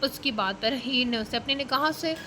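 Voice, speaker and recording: A woman reading a romance novel aloud in a steady storytelling manner. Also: high-pitched, brisk, noisy background. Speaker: narrator.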